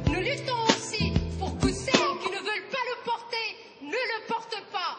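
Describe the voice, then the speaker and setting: A woman's voice: extremely high pitch, moderate pace, loud music behind the voice. A woman addressing a rally, declarative and slogan-like. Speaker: rally speaker. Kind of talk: speech at a rally. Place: outdoor rally. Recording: echoey outdoor rally from far off.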